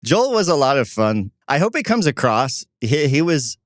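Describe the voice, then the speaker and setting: A young man speaking casually as a podcast co-host in relaxed conversation with a partner. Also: mid-range voice, moderate pace, dry recording, studio quality. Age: young adult.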